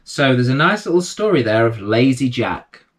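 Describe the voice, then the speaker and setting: A man hosting and reading children's bedtime stories in a gentle, reassuring manner. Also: mid-range voice, very animated, normal speed. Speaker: bedtime story reader and host. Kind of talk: storytelling read aloud for children.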